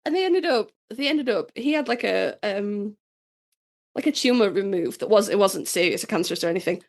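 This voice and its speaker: A woman co-hosting a podcast in casual chat, a little hoarse and nasal from being sick, telling stories in a chatty, swearing way. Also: medium pitch, brisk. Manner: chatty, swearing, animated storytelling. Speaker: podcast co-host.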